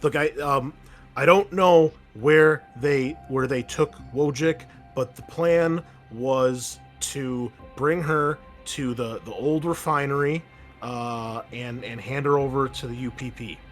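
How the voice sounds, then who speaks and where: A man's voice: medium pitch, slow, faint music behind, pitched above the performer's own voice, lightly acted. A man voicing a frightened captured insurgent in a tabletop game, stammering and repeating himself as he pleads under interrogation. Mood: panicked, giving in.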